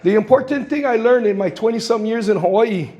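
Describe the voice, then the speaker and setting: A man in his sixties from Guam, with a noticeably high voice, addresses a public forum. He tells stories in a plain, earnest way and acts out the voices of the people he quotes. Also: brisk, warm tone, dull audio. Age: 60s. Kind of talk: impassioned public comment. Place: public meeting hall.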